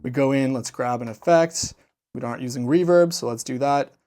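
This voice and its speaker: A man's voice: medium pitch, fairly quick. A man presenting a synthesizer demo as a casual, playful, self-deprecating walkthrough. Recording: music and synth sounds behind voice. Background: loud synthesizer music.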